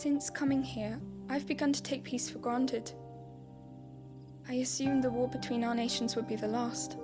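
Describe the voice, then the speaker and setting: Caring young British noblewoman, female actor, high and soft-spoken, quietly anxious, fantasy game with clear musical underscore. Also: fairly even intonation, moderate pace, music in the background, natural voice. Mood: wistful, worried. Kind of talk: video game cutscene acting.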